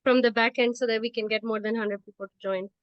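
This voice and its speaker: A woman's voice: on the high side, steady tone, fast talker, clear, resonant tone, no room sound. A woman organizing an online session, chatting in an upbeat, reassuring way. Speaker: webinar organizer. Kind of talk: casual chat. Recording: dull dry call audio.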